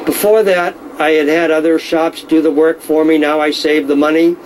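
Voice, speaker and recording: A middle-aged man who deals in violins, speaking in a measured, knowledgeable way with frequent fillers. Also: fairly high pitch, level tone, a little hiss or hum, low-quality recording. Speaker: violin dealer. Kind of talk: casual explanatory talk.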